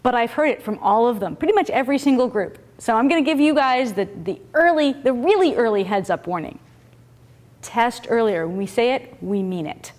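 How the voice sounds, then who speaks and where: A woman's voice: a little high, animated, fairly quick, darkish tone, slight background noise. A woman co-teaching a university course, giving wry, emphatic warnings to students. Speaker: course instructor. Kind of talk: university lecture.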